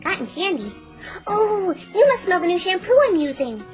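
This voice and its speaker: A woman voicing a bubbly, childlike, scatterbrained cartoon pony with excitable, cutesy talk. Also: extremely high pitch, normal speed, soft background music. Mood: chatty, curious.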